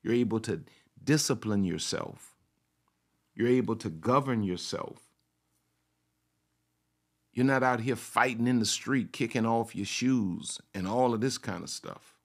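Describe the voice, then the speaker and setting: Man, pastor, sermon, very slow delivery, repeats phrases, rhetorical questions. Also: on the low side. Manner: speaks in the first person of a confident woman to make his point.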